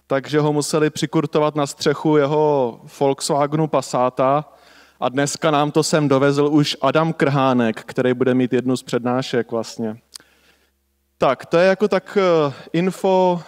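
A man in his thirties welcomes a crowd through a handheld microphone in a large lecture hall. His voice sits a little high and sounds smooth and clear. He rambles casually, with fillers and small jokes. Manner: loose and chatty, many fillers and jokes. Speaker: conference host.

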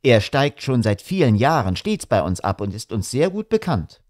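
A man's voice: mid-range voice, very animated, normal speed, darkish tone, no echo, studio quality, close to an everyday voice. A man voicing a polite, matter-of-fact male hotel clerk.

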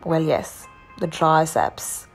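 A woman's voice: low voice, expressive, takes it slowly, gravelly. Indian woman vlogger with music behind. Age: young adult. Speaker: fitness vlogger. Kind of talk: casual vlog narration.

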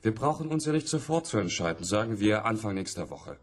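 A man's voice: on the low side, very animated, a little fast. An actor playing an eager, persuasive university colleague in a radio play, a man with a keen, sociable manner.

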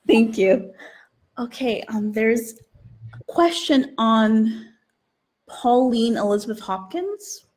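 A woman's voice: a little high, animated, extremely slow, pauses often, darkish tone. A middle-aged woman moderating a live online event over a video call, reading out questions. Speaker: host and moderator of a library event. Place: indoor book-lined room. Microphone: video call, computer microphone.

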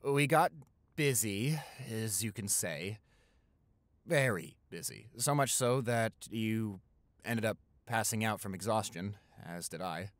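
A man's voice: fairly low pitch, takes it slowly, no echo. Roleplay audio boyfriend, acted by a man: young American, college student, playful, reassuring, hesitant, very dry close sound. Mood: sheepish, teasing. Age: young adult. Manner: teasing, reassuring, hesitant stammers.

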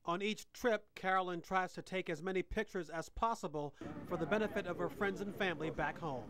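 A man reporting and narrating a television news documentary in a measured, scripted way. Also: high-pitched, a crowd in the background. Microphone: handheld station mic.

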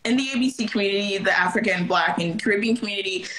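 A woman's voice: mid-range voice, fast talker, a bit bright, rough recording. A young woman from Nigeria, talking earnestly and reflectively in a casual video-call interview, with many "like" and "you know" fillers. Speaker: interviewer, network rep. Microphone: laptop camera on video call.

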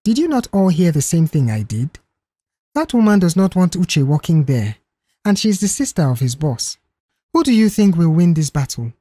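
Audio story role: emphatic, gloomy-minded younger brother, gossipy, very animated pitch, done by a narrator. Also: normal speed, dry recording. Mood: alarmed, insistent. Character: Small But Mighty, outspoken, pessimistic young brother.

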